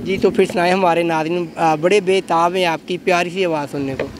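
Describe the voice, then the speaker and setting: A man's voice: high voice, fairly even intonation, brisk. A man, an effusive host doing a street interview and repeating answers back. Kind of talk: street interview for a web show. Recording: outdoor street noise with traffic.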